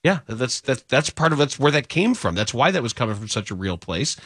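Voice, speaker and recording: A male sports radio host chatting casually in a dry, close studio sound. He jokes and laughs at his own stories, often restarting sentences and trailing off. His pitch sits in an ordinary middle range. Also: big pitch swings, a little fast, a bit bright, dry recording. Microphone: studio microphone.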